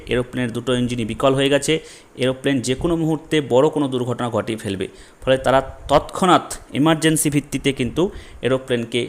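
A man presents an informal explainer lesson, addressing viewers directly and repeating key terms in a didactic way. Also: medium pitch. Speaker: educational video host, explainer.

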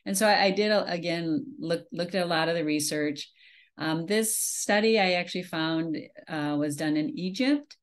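A woman from Minnesota walks through her slides on a video call, her voice a touch low for a woman. She talks in a relaxed, conversational way, full of "um"s and restarted phrases. The sound is plain webcam audio from a small room. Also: normal speed. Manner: conversational, many fillers and restarts. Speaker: beekeeping presenter.

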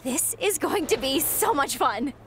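A woman's voice: high voice, animated, measured pace, noisy background. Bubbly fangirl wrestler. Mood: giddy. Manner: bubbly, hyper, squealing. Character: excitable fangirl woman wrestler.

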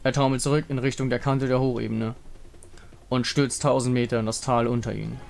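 A man's voice: mid-range voice, flat delivery, fairly quick, vocal fry, darkish tone, slight background noise. A man narrating a fantasy game story in a dramatic scripted style. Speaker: narrator reading visual novel dialogue. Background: music.

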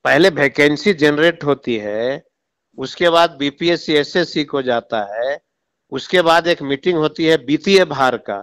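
Man on a phone call, kinda high voice, impatient, talks down, lecturing. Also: a little slow, fairly bright tone. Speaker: government minister taking a call. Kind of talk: phone conversation. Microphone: telephone call recording.

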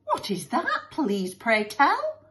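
Woman putting on a gushing, high, sickly-sweet doting mother. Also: wildly swooping pitch, takes it slowly, fairly bright tone, full character voice. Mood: cooing, adoring. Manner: cooing baby talk, drawn out and melodramatic.

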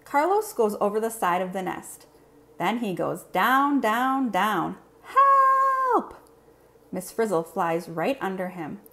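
A woman reading a children's picture book aloud in an expressive, simple storytelling manner. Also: mid-range voice, measured pace, a little hiss or hum. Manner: clear, simple, expressive delivery for young children.

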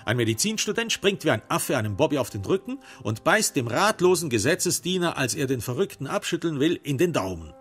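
A man's voice: fairly high pitch, big pitch swings, fairly quick, few pauses, fairly bright tone, faint music behind. A man narrating a literary crime radio play in a sardonic, theatrical storytelling manner.

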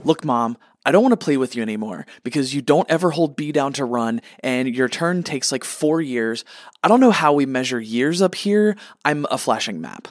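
A man's voice: medium pitch, lively intonation, moderate pace, dry recording, clean studio sound, natural voice. A man playing a petulant, imperious god who acts like a gaming mama's boy in a comedic radio play. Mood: petulant, distracted. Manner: flippant, whiny, imperious.

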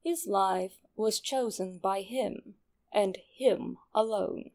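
A woman reads a horror tale as its narrator. Her pace is slow and deliberate, her tone sinister, and a heavy vocal fry runs through the middle-pitched voice. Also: darkish tone.

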